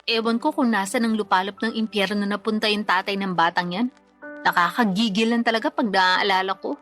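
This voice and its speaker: A woman's voice: medium pitch, fairly even intonation, brisk, fairly bright tone, close to an everyday voice. A woman playing a weary, resentful young single mother in a Tagalog radio drama, plaintive and defensive. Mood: bitter, frustrated. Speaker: voice actress. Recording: music bed under the voice.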